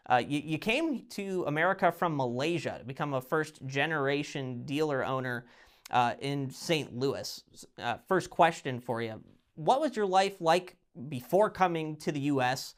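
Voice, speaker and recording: Male host with a slightly higher voice, friendly and casual, asks questions in an easygoing way. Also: fairly bright tone. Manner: friendly, casual interviewer with "kinda" fillers. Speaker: interview host. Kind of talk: hosted video interview.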